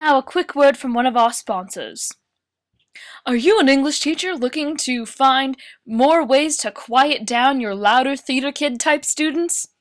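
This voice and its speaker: Spoof radio ad announcer female actor: high, punchy, declamatory, salesy. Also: measured pace, no room sound. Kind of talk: amateur radio play.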